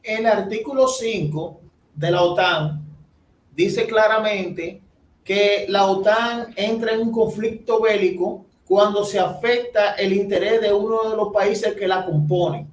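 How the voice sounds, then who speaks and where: A high-pitched man interviews a guest at an unhurried pace. He sets up each question deliberately. He sounds distant from the mic in an echoey room, with faint noise. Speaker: host interviewer.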